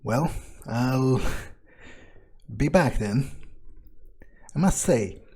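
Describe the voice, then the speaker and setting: A man's voice: mid-range voice, lively intonation, extremely slow, darkish tone, husky. A man giving casual in-character gameplay commentary in a dry, understated, musing way. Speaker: gamer playing in character.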